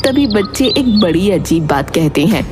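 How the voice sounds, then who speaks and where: A woman's voice: fairly low pitch, very animated, moderate pace. A woman narrating a scripted horror cartoon story over music.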